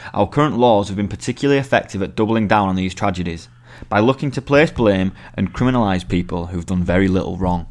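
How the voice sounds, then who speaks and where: A young British man reading his own written article aloud as scripted narration. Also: low-pitched.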